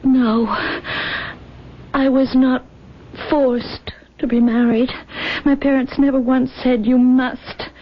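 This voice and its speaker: Anguished young bride, high-voiced. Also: female voice, little pitch movement. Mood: confessing. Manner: pleading, tearful, halting delivery. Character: anguished young bride, rabbi's late-night visitor, guilt-ridden. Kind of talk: old-time radio drama acting. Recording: dull lo-fi radio drama recording.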